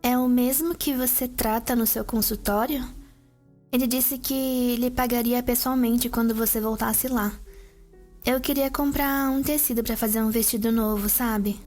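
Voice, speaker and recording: A woman's voice: fairly high pitch, steady tone, normal speed, darkish tone, soft background music, close to an everyday voice. A woman playing a sweet, eager young vampire sister in an ASMR roleplay, talking in a chatty, girlish way.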